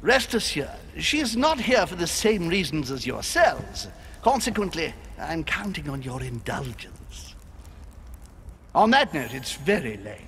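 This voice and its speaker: An actor playing a genteel, courteous aristocratic host with a courtly, theatrical manner in a video game. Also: male voice, a little high, big pitch swings, unhurried, a bit bright, a little hiss or hum, exaggerated voice. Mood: polite, reassuring. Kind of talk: video game cutscene acting.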